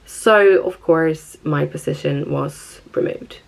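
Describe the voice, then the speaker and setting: A woman's voice: deep voice, slow, vocal fry, low-quality recording. A woman with a Swedish accent, talking casually and chattily to viewers as a vlogger. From Stockholm, Sweden. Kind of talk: casual personal vlog talk.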